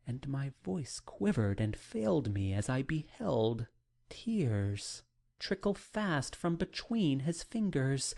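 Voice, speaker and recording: A man reading a classic novel as a dramatic audiobook, with a solemn, deliberate, literary delivery. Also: on the high side, darkish tone. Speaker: audiobook narrator.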